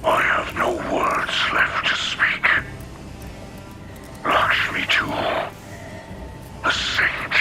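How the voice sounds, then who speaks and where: A man playing a weary but steadfast warrior in a video game, speaking in a solemn, halting, heavy-hearted way. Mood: weary, despairing. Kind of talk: scripted video game monologue. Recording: loud music behind the voice. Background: loud music.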